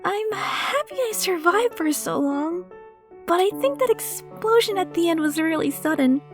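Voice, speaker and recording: A woman's voice: very high-pitched, animated, normal speed, music in the background. A woman playing a timid, polite, earnest young woman in an anime fan dub. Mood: eager, apologetic.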